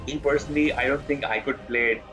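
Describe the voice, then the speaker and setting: A man's voice: mid-range voice, fairly even intonation, fast talker, over music, low-quality recording. A man from Pakistan chatting casually and candidly as he live-streams a game, informal and reflective. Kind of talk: casual live-stream chat during gaming.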